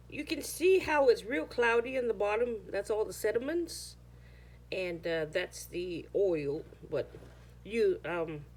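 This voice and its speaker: A woman's voice: on the high side, breathy edge. A woman whose first language is Chamorro, chatting casually through a home how-to video, sometimes searching for words. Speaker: home cooking vlogger.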